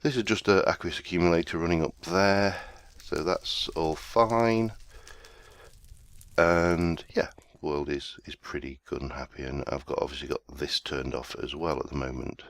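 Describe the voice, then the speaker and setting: A man with an extremely low, bassy voice talks through his game in an easygoing, rambling way. He sounds chesty and a little congested from a summer cold. The recording is dry and close, with no room sound. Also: moderate pace, dry recording. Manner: rambling, self-deprecating, easygoing. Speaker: let's play host. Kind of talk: casual gameplay commentary.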